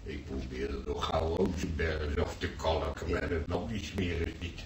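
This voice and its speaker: An actor playing an old, easily agitated grandfather in a radio play, rambling and repeating himself haltingly. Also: rumbling low, fairly even intonation. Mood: reminiscing. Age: older. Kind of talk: radio drama acting. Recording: roomy, distant, faintly noisy old radio recording.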